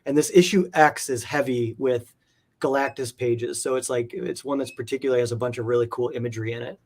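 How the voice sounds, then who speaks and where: Male interview guest, medium pitch, enthusiastic hobbyist collector, conversational and rambling, lots of "like". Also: steady tone, fairly quick, darkish tone. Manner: rambling, peppers talk with "like". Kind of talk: casual enthusiastic chat about comic art.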